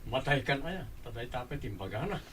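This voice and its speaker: An easygoing young man in love acted by a man in a radio comedy. He sounds casual and eager, with a voice of average pitch. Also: big pitch swings, fairly quick, a crowd in the background, close to an everyday voice. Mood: bantering. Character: upbeat, lovestruck young village man, easygoing buddy and son. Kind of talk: Ilocano comedy radio drama acting.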